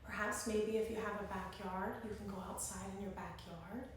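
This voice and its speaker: A woman teacher leading an interactive children's lesson, encouraging and prompting with questions and pauses, recorded in an indoor room. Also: mid-range voice, fairly even intonation, a little hiss or hum. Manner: encouraging, instructive, prompting listeners to respond. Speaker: early-grade classroom teacher leading circle time. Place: indoor room with strong echo. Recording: very echoey room, distant microphone.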